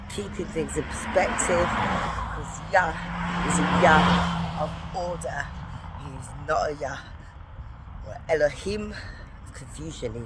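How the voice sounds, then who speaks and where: A woman with a gruff early-morning voice, chatting and praying on a devotional walk-and-talk vlog in a soft, rambling way. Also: low voice, slight background noise, rough recording. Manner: soft-spoken, rambling, prayerful. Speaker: faith vlogger. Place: walking, from a lift to outdoors.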